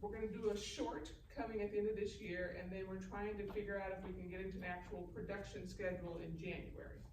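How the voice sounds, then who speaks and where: A woman's voice: mid-range voice, fast talker. A woman from Northern California speaking on a filmmakers' panel, telling stories expressively and acting out the dialogue. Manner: expressive storyteller who acts out dialogue. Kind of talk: animated anecdote and audience Q&A. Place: echoey indoor live event room. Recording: echoey room, distant mic, noisy.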